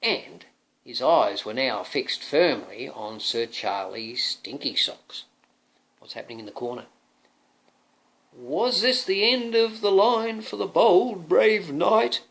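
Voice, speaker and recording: Male reader for a children's picture book. Very animated intonation, playful drama, parental asides. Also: a little high, slow, creaky, rough recording. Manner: dramatic, playful, often asking the listener questions. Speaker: father reading a picture book to his young son.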